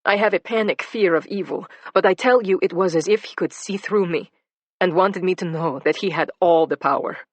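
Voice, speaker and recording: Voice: medium pitch, normal speed, no echo, lightly acted. A narrator voicing a fearful but determined Bosnian woman witness with a heavy Bosnian accent. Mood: earnest, anxious.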